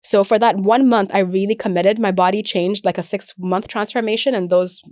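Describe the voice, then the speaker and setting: A woman's voice: medium pitch, steady tone, normal speed, a little mellow, dry recording. Chatty young woman from the UAE, very dry sound. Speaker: podcast guest, air traffic controller and comedian. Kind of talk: casual conversational storytelling.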